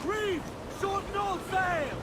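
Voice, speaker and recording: An actor playing a hearty, commanding sailor in a video game, shouting orders and cheers on a whaling boat. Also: male voice, extremely high pitch, drawn-out words, exaggerated voice. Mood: urgent. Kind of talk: video game character barks. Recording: loud sea and boat noise. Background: ocean and boat ambience.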